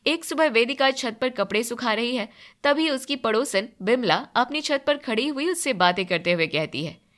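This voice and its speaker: Bright, high-voiced woman narrating a story. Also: animated, normal speed. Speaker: narrator of a family drama story. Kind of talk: scripted dramatic storytelling.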